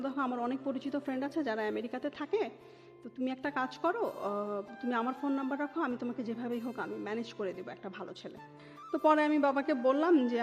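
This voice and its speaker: Bangladeshi woman, highish voice, telling life story in an interview, bit lo-fi, soft music behind. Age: 30s. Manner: mixes English words into Bengali. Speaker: interview guest. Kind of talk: personal life storytelling in conversation. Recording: dull lo-fi audio with music behind.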